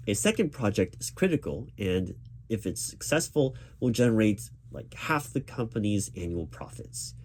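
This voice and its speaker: A man with a fairly low voice speaks as a job interviewer in an ASMR roleplay. His pitch moves around a lot as he reassures, often repeating words haltingly. Soft keyboard typing sounds faintly behind him. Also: very animated, slight background noise. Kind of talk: ASMR roleplay, spoken aloud rather than whispered. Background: computer keyboard typing.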